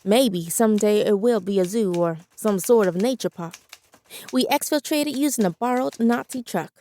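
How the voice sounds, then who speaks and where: A woman's voice: mid-range voice, normal speed, mellow, natural voice. An actress playing a firm, defiant woman who leads a wartime team in a pulp adventure drama. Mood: composed. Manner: firm, confident. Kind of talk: pulp adventure drama acting, some narration.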